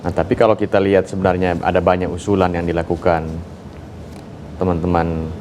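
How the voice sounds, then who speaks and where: Indonesian man, low voice, activist guest, explanatory interview talk, light background noise. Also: flat delivery, fairly quick, darkish tone.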